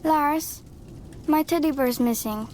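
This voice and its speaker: A woman's voice: high voice, slow pace, a little mellow, a little hiss or hum. A woman voicing a sweet, trusting little girl in a fairy-tale game, with a simple childlike manner. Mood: plaintive. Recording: music behind the voice.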